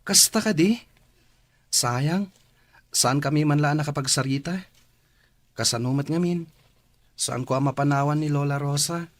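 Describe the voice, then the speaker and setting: An actor playing a polite young relative in a radio drama. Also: male voice, fairly high pitch, a little slow, pauses often, no room sound, close to an everyday voice. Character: young relative caring for a grandmother. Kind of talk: Ilocano radio drama acting.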